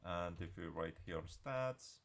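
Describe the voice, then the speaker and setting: A man giving an unscripted software screencast tutorial in a halting, hesitant way. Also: low voice, animated, vocal fry.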